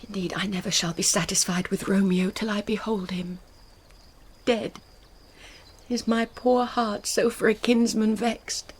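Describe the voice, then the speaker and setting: An actress playing a passionate, grief-stricken young noble bride in a classical Shakespearean radio drama, with earnest poetic delivery. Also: female voice, mid-range voice, steady tone, a little slow, breathy edge. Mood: guarded grief.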